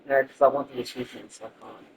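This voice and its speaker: Off-mic sweary game streamer, mid-pitched. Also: flat delivery, rapid-fire, creaky, recorded from a distance, slight background noise, poor audio. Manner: sweary, rambling. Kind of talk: casual gaming chat, thinking aloud.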